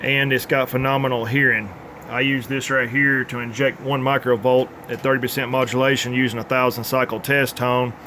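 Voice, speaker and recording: A man with a mid-pitched voice talks through technical work in a plainspoken, informal way, like a repair technician at his bench. There is faint noise behind him. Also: flat delivery, fairly quick, sharp tone. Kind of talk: informal workbench demonstration.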